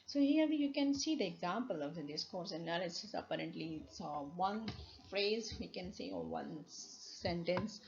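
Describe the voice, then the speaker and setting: A woman lecturer with a Pakistani English accent, a native Urdu speaker, explaining slides slowly with many fillers and restarts. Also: lowish voice, expressive, vocal fry, a little mellow. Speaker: university lecturer. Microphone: voice-over on slides. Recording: dull lo-fi recording with faint noise.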